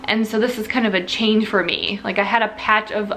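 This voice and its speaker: A woman's voice: mid-range voice, steady tone, brisk, a bit bright, a little hiss or hum, poor audio. A young woman from Ohio giving a casual, rambling vlog update, restarting sentences and laughing a little. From Ohio, United States. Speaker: vlogger.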